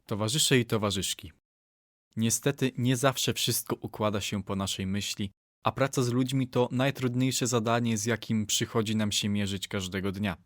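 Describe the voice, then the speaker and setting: A man's voice: lowish voice, steady tone, moderate pace, no echo, clean studio sound. A man reading scripted story narration in a formal, wry announcer manner.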